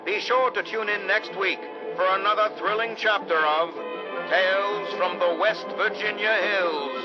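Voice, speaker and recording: An actor playing a grandly mysterious, melodramatic announcer and narrator of an old-time radio serial. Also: male voice, high-pitched, a little slow. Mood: suspenseful. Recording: dull vintage radio recording over loud music.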